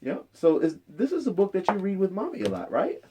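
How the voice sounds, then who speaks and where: A man's voice: sits high, huge pitch swings, brisk, noisy background. A man chatting casually with a child as a father and host, asking simple questions in an encouraging way. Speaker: father interviewing his child on a storytime show.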